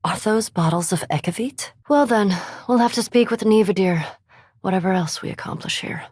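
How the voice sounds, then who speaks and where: An actress voicing a haughty, scholarly elf vampire in a fantasy video game, with formal, precise diction and dry, disdainful sarcasm. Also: female voice, medium pitch, moderate pace, a little mellow, husky, no room sound, natural voice. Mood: wry, sarcastic.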